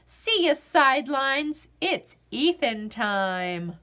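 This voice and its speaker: A woman's voice: high-pitched, sing-song, extremely slow, pushed and bright, heavily acted. Woman putting on a thrilled nine-year-old boy. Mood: excited. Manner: eager, exclaiming. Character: excited nine-year-old boy eager to play football.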